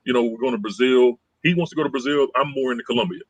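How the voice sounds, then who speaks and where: A man co-hosting a casual podcast chat, calm and conciliatory, rambling and repeating phrases as he explains. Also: medium pitch, rapid-fire, breathy edge, dry recording.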